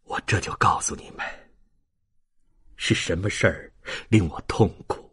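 Dying old man, very deep, hoarse and breathy, slow halting delivery, tender, audiobook drama. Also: fairly bright tone, heavily acted. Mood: earnest, labored. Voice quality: husky, breathy, weak.

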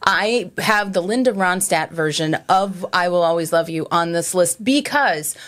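A woman's voice: lowish voice, measured pace. Enthusiastic woman talking about music. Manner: enthusiastic, lively, with laughs. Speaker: podcast guest. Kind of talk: casual music chat. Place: small studio room with purple lighting.

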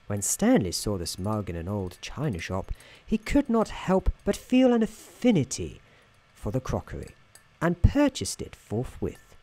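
A man playing a soft-spoken, whimsical, gently patronising narrator in an ASMR roleplay, speaking in a measured, soothing way. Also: fairly low pitch, huge pitch swings. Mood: soothing, droll. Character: droll, soothing male storybook narrator in an office worker's head.

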